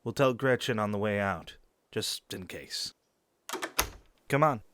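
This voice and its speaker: A man's voice: fairly low pitch, animated, slow pace, fairly bright tone, slight background noise. A man acting a playful, bold young werewolf in an audio drama. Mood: serious.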